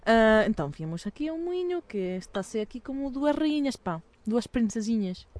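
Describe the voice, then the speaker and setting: A woman's voice: fairly high pitch, very animated, normal speed, a little mellow, dry recording. A woman who learned Portuguese abroad, speaking in a casual, laughing chat outdoors by a windmill. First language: not Portuguese. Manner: laughs often, chatty, self-deprecating. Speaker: guest.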